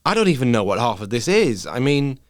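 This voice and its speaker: A man's voice: medium pitch, very animated, a little fast, dry recording, clean studio sound, lightly acted. A man voicing an exasperated, bewildered woman, a parent who questions insistently, in a story reading.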